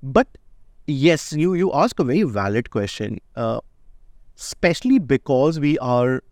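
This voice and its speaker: A man's voice: mid-range voice, big pitch swings, measured pace, darkish tone, dry recording, studio quality. A man, a startup founder, answering interview questions in a casual, emphatic way and often asking "right?". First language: not Indonesian. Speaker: startup founder and CEO, guest.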